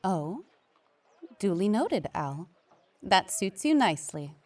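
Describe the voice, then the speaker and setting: A woman's voice: mid-range voice, big pitch swings, slow pace, dry recording. A woman in a fandub playing a gracious, charming school inspector, polite and coy. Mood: charming, courteous. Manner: polite, coy, sweetly courteous. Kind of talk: fandub voice acting.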